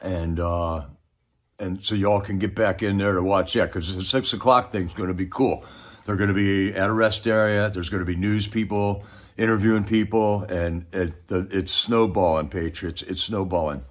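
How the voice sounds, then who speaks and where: A man's voice: deep voice, fairly even intonation, moderate pace. A man hosting a livestream with casual, rambling, folksy commentary, speaking straight to his viewers. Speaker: livestream host.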